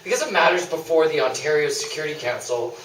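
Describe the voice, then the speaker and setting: A man acts out a bossy, officious lady lawyer in a comic radio play, formal and a little halting. The voice is sharp and forceful, ringing from a distance in an echoey theatre. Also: a little high, close to an everyday voice. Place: small theatre stage, live performance. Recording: echoey stage, distant noisy camera mic.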